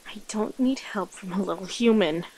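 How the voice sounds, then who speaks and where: A woman voicing a predatory, teasing vampire woman in an ASMR roleplay, breathy and often whispering, with drawn-out, menacing delivery. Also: medium pitch, a little mellow, a little hiss or hum, natural voice, poor audio. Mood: hungry, warning.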